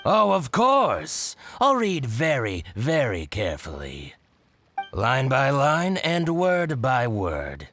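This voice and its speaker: A man playing an envious, scheming shopkeeper in a video game, theatrical, excitable and given to grumbling and gloating. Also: medium pitch, slow pace, faint music behind, exaggerated voice.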